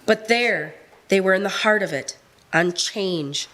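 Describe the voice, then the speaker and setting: A woman's voice: medium pitch, a little slow, a bit bright. A woman narrating a live radio play as scripted storytelling.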